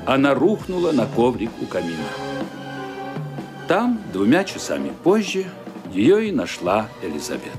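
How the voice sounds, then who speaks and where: A man narrating a radio mystery play with suspenseful, deliberate phrasing and dramatic emphasis. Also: medium pitch, huge pitch swings. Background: music.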